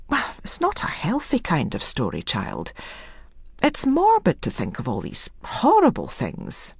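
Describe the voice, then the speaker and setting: A stern middle-aged matron scolds and coaxes a girl in a firm, husky voice, as a woman reader puts her on. Her pitch sits low but swings widely as she lectures, and the sound is close and dry. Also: a little mellow, no room sound, full character voice. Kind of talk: audiobook reading.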